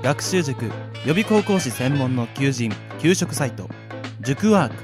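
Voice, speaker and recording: A man's voice: medium pitch, sing-song, measured pace, over music. A man co-hosting a radio show, with casual banter and sponsor announcements. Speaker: radio co-host.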